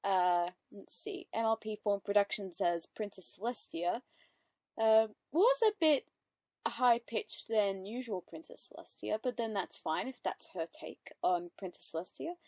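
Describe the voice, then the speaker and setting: A woman's voice: medium pitch, lively intonation, measured pace, a little mellow, dry recording. A woman hosting casual reaction commentary, chatty and upbeat, full of fillers and self-corrections. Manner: giggly, upbeat. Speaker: reaction channel host.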